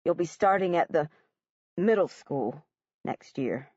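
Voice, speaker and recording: A woman voicing a weary, grieving father who speaks quietly and haltingly. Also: on the low side, expressive, husky, dry recording, muffled recording, pitched below the performer's own voice. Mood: weary grief.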